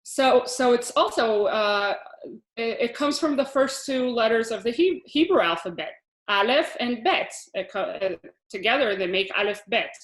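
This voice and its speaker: A woman's voice: on the high side, expressive, normal speed. A woman in her thirties from Israel, a Hebrew teacher giving a chatty online lesson over a video call, explaining with frequent restarts and small laughs. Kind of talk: online lesson with shared slides. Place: room at home, video call. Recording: echoey room on a video call.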